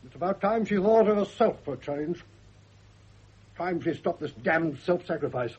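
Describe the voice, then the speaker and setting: High, husky crotchety old British general. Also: male voice, animated, moderate pace, a little mellow. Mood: testy. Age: older. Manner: blunt, bossy, opinionated. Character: crotchety, domineering old retired general and father. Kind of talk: 1970s radio drama acting. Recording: dull lo-fi old radio recording.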